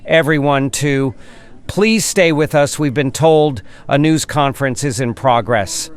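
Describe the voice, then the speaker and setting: A man's voice: mid-range voice, unhurried, smooth, close to an everyday voice. A man playing a flustered breaking-news announcer, stalling and repeating himself during a live emergency broadcast in an audio drama. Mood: flustered, urgent.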